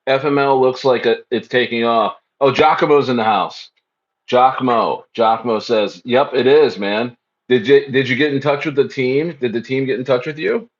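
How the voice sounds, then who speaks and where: A man's voice: mid-range voice, moderate pace, highs cut off. A man hosting a casual crypto livestream, speaking loosely and repeating phrases as he reads the chat.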